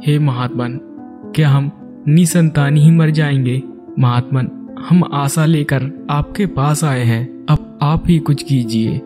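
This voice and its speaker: A man's voice: fairly high pitch, takes it slowly. A man playing an ageing, courteous and anxious king in a cartoon moral story, speaking formally. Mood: worried, pleading. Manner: formal, stately storybook delivery. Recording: music under the voice.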